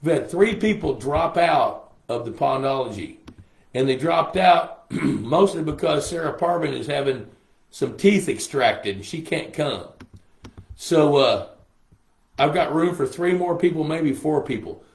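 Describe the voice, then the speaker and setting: An older man, a little hoarse, chatting casually with livestream viewers in a folksy way. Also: fairly high pitch, expressive, unhurried. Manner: chatty, folksy, reads viewer comments aloud. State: hoarse from a lingering cough. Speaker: host. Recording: roomy echo on a live stream.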